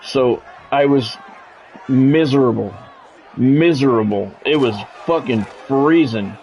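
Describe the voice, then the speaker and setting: Middle aged american dude streaming, grumbly and sweary, chats then does football play by play, medium pitch. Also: male voice, slow, a little mellow, lo-fi sound, a faint crowd, slight background noise. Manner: blunt, profane, grumbling. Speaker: game streamer and sports play-by-play announcer. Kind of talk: casual livestream chat mixed with football play-by-play commentary.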